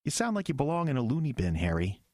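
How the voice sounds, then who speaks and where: An actor playing an earnest, skeptical young pastor with a hesitant, halting way of talking in an audio drama. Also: male voice, medium pitch, huge pitch swings, darkish tone, no echo, clean studio sound. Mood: incredulous.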